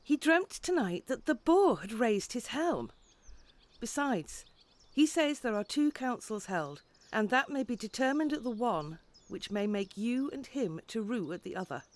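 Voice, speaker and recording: An actress playing an urgent messenger in Shakespearean audio drama, reporting earnestly in formal verse. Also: female voice, on the high side, expressive, unhurried. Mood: urgent, warning. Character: urgent messenger bearing a lord's warning.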